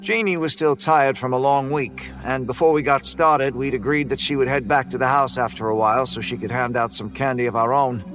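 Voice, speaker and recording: A man narrating a first-person horror story in a relaxed, folksy way, over faint music. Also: mid-range voice, a little fast, few pauses, low-quality recording.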